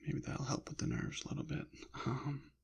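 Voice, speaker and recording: A man rambling softly in an ASMR style, slipping in and out of a whisper, with a hesitant, halting, apologetic manner. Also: mid-range voice, sing-song, creaky, husky. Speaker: ASMR channel creator. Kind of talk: soft-spoken ASMR ramble, partly whispered.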